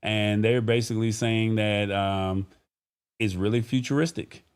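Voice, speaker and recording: A man's voice: lowish voice, steady tone, measured pace, clean tone. A man hosting a live-streamed talk show in an informal, conversational way, speaking directly to viewers. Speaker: show host.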